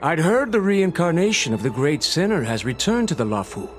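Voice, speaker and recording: An actor playing a blunt, formal dragon-kin official in a video game, admonishing in a declamatory way over music. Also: male voice, on the high side, very animated, fairly quick. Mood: accusing.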